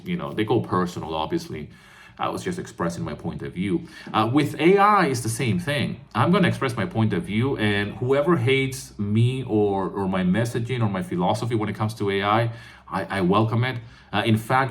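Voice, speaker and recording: Earnest low-voiced man in his fifties. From Venezuela. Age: 50s. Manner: earnest, repetitive restarts, frequent "right?" tags. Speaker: composer and online music educator. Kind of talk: casual talking-head vlog. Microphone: phone recording.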